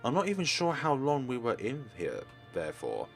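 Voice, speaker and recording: Male let's player, mid-pitched, narrating game text over music. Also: huge pitch swings, normal speed, vocal fry. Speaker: let's play host reading a visual novel aloud. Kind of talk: reading game narration aloud.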